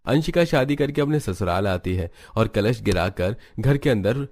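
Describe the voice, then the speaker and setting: A man reading scripted narration for an animated family story. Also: on the low side, moderate pace, soft background music.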